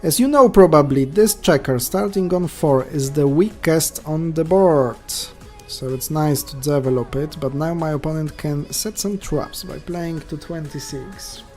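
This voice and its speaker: A man's voice: on the high side, a little slow, a little mellow, faint music behind. Polish-accented man commenting his live games. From Poland. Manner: thinks aloud, chatty asides to viewers. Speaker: checkers streamer.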